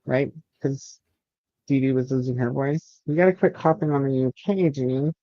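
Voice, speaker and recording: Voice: mid-range voice, moderate pace, warm tone, dry recording, dull audio. A host chatting casually and reading out chat on a livestream, with a joking, sweary way of talking.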